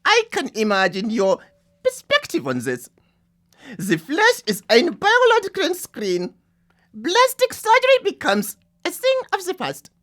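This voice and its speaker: A woman's voice: high-pitched, a bit bright, exaggerated voice. A woman playing an imperious, grandiose lead scientist in a live radio drama, lofty and dismissive as if always giving a speech. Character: imperious, grandiose scientist leading a research lab.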